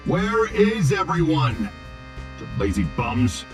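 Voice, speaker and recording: An actor playing an arrogant, impatient robot Hollywood director in a video game, with a pompous, exasperated, theatrical delivery. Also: male voice, fairly high pitch, sing-song, takes it slowly, music in the background. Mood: impatient, irritated. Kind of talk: video game character acting.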